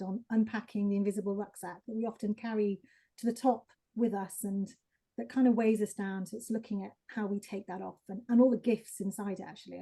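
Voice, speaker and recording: Woman coach, deep-toned mellow voice, upbeat introduction, video call. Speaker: executive coach.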